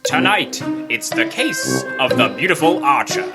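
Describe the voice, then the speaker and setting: A man playing an excitable old-time radio announcer, introducing a mystery in a grand, dramatic, declamatory style. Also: medium pitch, a little slow, creaky, over loud music. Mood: grand, enthusiastic.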